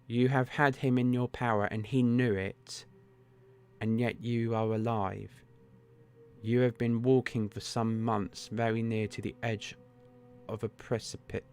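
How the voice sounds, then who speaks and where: A man reading aloud, voicing a calm, precise Victorian detective in a measured, deliberate way. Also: medium pitch, clear, resonant tone, close to an everyday voice.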